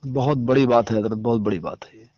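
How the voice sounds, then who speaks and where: A man's voice: medium pitch, a little fast, a little mellow, no room sound. A man conducting a phone interview. His voice is dry and close, with dull, muffled sound. He keeps affirming the other speaker and chimes in often with brief agreement. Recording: dry, muffled call recording.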